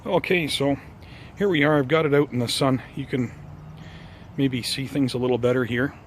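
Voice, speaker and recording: A man hosting a casual gardening vlog outdoors, talking informally to viewers in an unhurried, hesitant way. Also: mid-range voice, steady tone, a little hiss or hum. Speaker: host of a bonsai gardening vlog.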